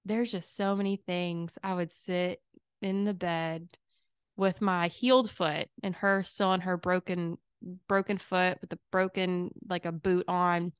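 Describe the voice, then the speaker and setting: Reflective, slightly raspy woman podcaster. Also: fairly even intonation, measured pace, dry recording, studio quality. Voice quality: raspy, low. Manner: rambling, emotional, many fillers. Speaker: podcast host. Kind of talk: reflective solo podcast chat. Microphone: studio microphone.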